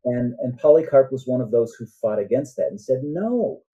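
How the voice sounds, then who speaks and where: Dark-voiced, husky man in conversation. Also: mid-range voice, lively intonation, normal speed, muffled recording. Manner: earnest, often checks in with "right?". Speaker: author, podcast guest. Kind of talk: conversational interview, explanatory.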